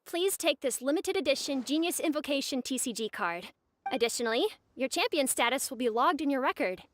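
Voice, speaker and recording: A woman's voice: high voice, expressive, fairly quick. A woman playing a polite, formal award presenter in a video game, announcing courteously.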